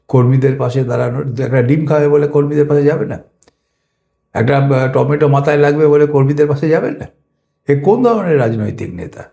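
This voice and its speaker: A man's voice: medium pitch, mellow. A man with a West Bengal Bengali accent giving political commentary in an interview, indignant and combative, firing repeated rhetorical questions. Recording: echoey room, music underneath.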